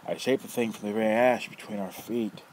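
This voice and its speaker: A man voicing a rough, taunting armless coffin maker in an audiobook reading. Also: lowish voice, fairly even intonation, fairly quick, a little mellow, a little hiss or hum, voice pushed up, lightly acted, low-quality recording. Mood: boastful. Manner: rough, taunting, bursts of laughter. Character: armless gruff male coffin maker, mocking and menacing.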